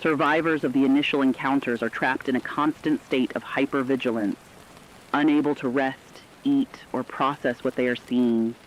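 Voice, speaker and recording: Through a dull, lo-fi radio sound with light rainy noise comes a man acting as a medium-pitched news analyst. The reporting is solemn, formal and emphatic, in the manner of a scripted apocalypse radio drama. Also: fairly even intonation, normal speed, natural voice. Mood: somber, urgent. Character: somber radio news analyst describing human toll of apocalypse.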